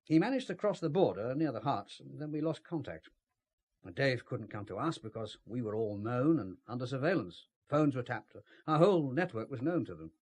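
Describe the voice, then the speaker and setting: An actor playing a cagey, ruthless British spymaster in an audio drama, with formal, understated speech and a husky voice. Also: male voice, mid-range voice, expressive, normal speed, a little mellow. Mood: measured, guarded.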